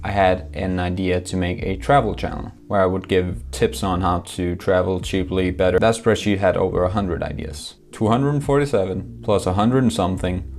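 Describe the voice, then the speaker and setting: A man's voice: low-pitched, fairly even intonation, normal speed, few pauses, a little mellow. A young man from Denmark, speaking English, talks candidly and with self-deprecating humor to camera in a scripted vlog. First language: Danish. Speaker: YouTuber.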